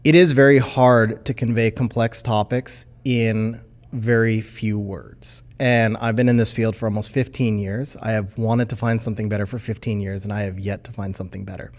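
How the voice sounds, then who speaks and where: Deliberate middle-aged man explaining. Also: on the low side, steady tone, a little mellow. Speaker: interviewed industry expert. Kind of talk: explanatory interview answer.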